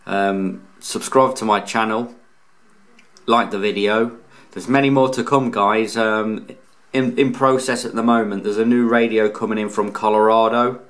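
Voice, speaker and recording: A man's voice: fairly low pitch, steady tone, a little slow. A man talking casually and informally through a hands-on product review, explaining as he goes with some hesitation. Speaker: radio hobbyist reviewer, channel host. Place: indoors, off camera beside a tabletop radio.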